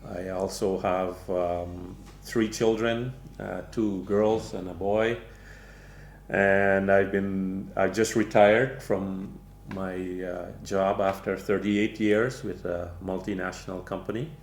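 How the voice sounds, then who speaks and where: Slow, gravelly-voiced older man. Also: deep voice, fairly even intonation, reverberant, slight background noise. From Guyana. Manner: slow, measured, halting with many fillers. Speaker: guest. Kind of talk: interview conversation.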